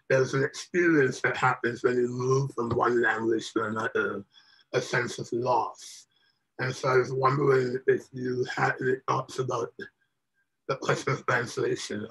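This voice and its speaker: A man's voice: mid-range voice, a bit bright, slightly rough, rough recording. A man whose mother tongue is Malayalam, interviewing a guest in a hesitant, thoughtful way with many fillers. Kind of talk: casual conversation.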